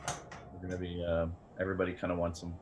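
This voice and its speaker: A man's voice: deep voice, monotone, fast talker. A man speaking informally about products as a guest expert on a video call. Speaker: camera company representative, guest expert. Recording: background noise on a video call.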